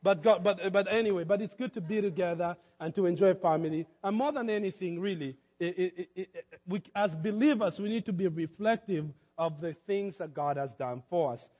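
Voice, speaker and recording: A male pastor with a high-pitched voice delivers a sermon in a church. He stumbles and repeats words, then builds to emphatic peaks. The sound carries a long hall reverb. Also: a little fast, darkish tone.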